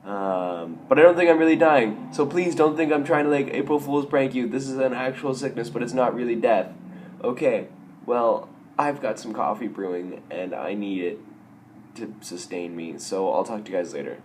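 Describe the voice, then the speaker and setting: A young man with a raspy, hoarse voice from a sore throat, telling stories in a casual, self-mocking vlog. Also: medium pitch, moderate pace, a little hiss or hum. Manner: self-mocking, wry, rambling with restarts. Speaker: vlogger.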